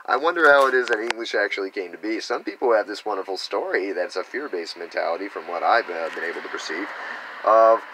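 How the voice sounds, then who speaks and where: A man's voice: fairly low pitch, quick pace, noisy background. An American man giving a rambling, earnest spiritual teaching, now and then acting out quoted reactions. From United States. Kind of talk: unscripted spiritual teaching monologue.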